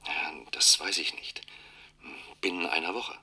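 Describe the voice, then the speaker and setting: An actor playing a secretive informant in a German radio drama, terse and conspiratorial, with a husky, forceful voice. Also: male voice, sits low, steady tone, a little slow, full character voice. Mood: urgent, secretive. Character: secretive male informant passing on grave warnings.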